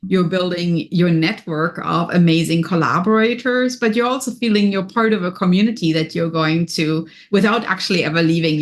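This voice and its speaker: Upbeat German-accented woman, low voice. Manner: upbeat, energetic, high energy. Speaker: business and wellness coach, guest. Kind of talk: podcast interview.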